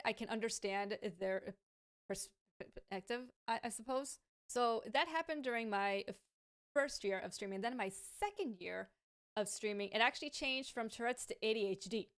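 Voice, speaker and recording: Clear-voiced woman who stammers. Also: mid-range voice. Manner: stammers, repeating sounds and restarting words; thoughtful and frank, with light laughs. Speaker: streamer answering viewer questions. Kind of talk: casual question-and-answer talk to camera.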